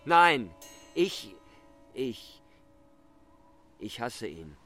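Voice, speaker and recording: An actor playing a brawny, jealous young head farmhand in a radio play, mocking and forceful. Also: male voice, mid-range voice, very animated, drawn-out words, over loud music. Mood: jealous, bitter. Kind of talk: German radio play acting.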